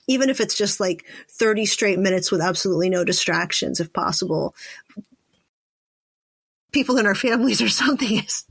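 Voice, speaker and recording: A woman hosts a conversational podcast in a mid-pitched voice. She talks informally, thinking out loud as she goes. The sound is dry, with no room around it. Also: husky, dry recording. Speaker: podcast host. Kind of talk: casual conversational interview.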